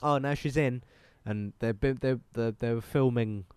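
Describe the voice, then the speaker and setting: A man's voice: mid-range voice, moderate pace, darkish tone, clean tone, no room sound. A man with a bunged-up, congested nose rambles through a casual podcast chat, swearing freely and laughing easily. He sounds close to the mic in a dry, dead room. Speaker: podcast co-host.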